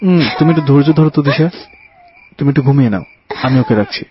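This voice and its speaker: A man's voice: fairly high pitch, fast talker, a crowd in the background, close to an everyday voice. An actor playing a caring young husband and father who reassures and soothes despite his worry, in an audio drama. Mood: worried, soothing. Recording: dull lo-fi audio, faint music.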